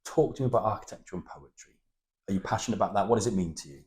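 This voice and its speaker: A man's voice: fairly low pitch, expressive, rapid-fire, a little mellow. A man interviewing an architect, asking open questions in an admiring, encouraging way. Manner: admiring, encouraging, with many short affirmations.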